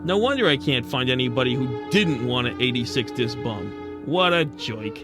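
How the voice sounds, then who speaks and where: A man playing a wisecracking, soft-hearted penguin private detective in a radio drama, narrating and talking in old-time gumshoe slang. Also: medium pitch, expressive, normal speed, music in the background, lightly acted. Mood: hardboiled. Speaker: lead actor and narrator.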